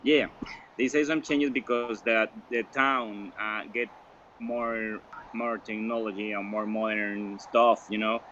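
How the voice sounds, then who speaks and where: A man from Costa Rica, a guest on a video call interview, who speaks haltingly and repeats words while he searches for them. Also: lowish voice, fairly even intonation, fairly bright tone, slight background noise. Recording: muffled video call audio.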